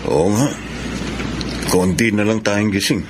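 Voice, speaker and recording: A man playing a steady, thrifty middle-aged father and seaman in Filipino radio drama. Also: lowish voice, slow pace, noisy background. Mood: calm.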